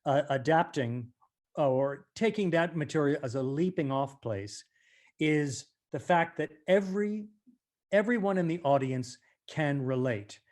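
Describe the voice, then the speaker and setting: High-voiced man speaking deliberately. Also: expressive, a little mellow. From United States. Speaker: actor, interview guest. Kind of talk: reflective interview conversation.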